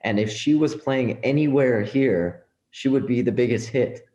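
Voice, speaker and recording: Man, middle-aged, Farsi native, mellow relaxed timbre, earnest conversational delivery, echoey recording. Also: mid-range voice, unhurried, lo-fi sound. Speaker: interview guest, business professional and community organizer. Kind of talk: casual podcast interview, personal anecdotes. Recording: roomy echo.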